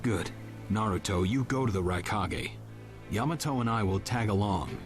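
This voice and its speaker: Game role: level-headed adult ninja mentor, deep register, relaxed measured delivery, music bed. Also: male voice. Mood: reassuring. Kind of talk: English video game dub acting. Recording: music under the dialogue.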